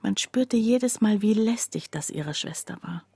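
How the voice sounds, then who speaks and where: A woman's voice: medium pitch, lively intonation, normal speed, vocal fry, a little mellow, breathy edge, no echo. A woman narrating a literary radio drama monologue, confiding and precise, as someone wryly recounting a poor friend's troubles.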